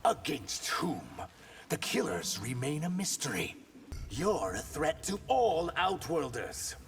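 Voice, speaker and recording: A man's voice: a little high, very animated, takes it slowly, raised voice, soft background music, heavily acted. A man voicing a loyal, candid emissary in a video game, speaking in a firm, pointed way. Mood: wary, firm. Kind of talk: video game character dialogue.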